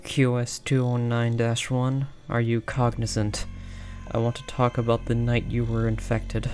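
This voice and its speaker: A man's voice: medium pitch, completely flat delivery, unhurried, a little mellow, soft background music, lightly acted. A man playing a stern, cold research scientist who questions captives in a clinical, formal, curt manner for an audio horror roleplay.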